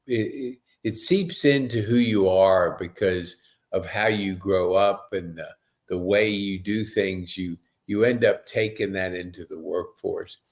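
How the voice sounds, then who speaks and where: Slow-paced male podcast host, low voice. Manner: effusive, rambling, stop-and-start questions. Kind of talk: casual conversational interview.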